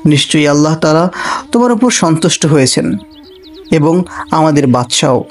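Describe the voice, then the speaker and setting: A man playing a cartoon royal guard disguised as a poor traveller, pleading and preaching in an earnest, deliberate way. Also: on the high side, expressive, lightly acted. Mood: solemn, approving. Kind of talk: Bengali cartoon character acting.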